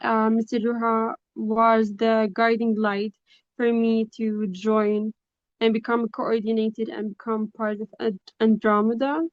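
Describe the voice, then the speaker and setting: Even, high-pitched young woman. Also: unhurried, dry recording. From Lebanon. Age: young adult. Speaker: guest speaker, project coordinator. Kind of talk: informal self-introduction at a webinar. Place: online video call. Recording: dry but dull, lo-fi video call.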